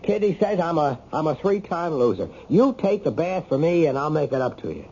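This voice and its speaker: A dull, faintly noisy old radio drama recording. a bitter ex-con in his thirties with a gravelly voice. He is agitated and desperate, pouring out his misery. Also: fairly high pitch, sing-song, a little fast, warm tone. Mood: bitter, self-pitying. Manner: agitated, emotional, self-pitying. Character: bitter, desperate ex-con night watchman, thirty-five, wrongly accused.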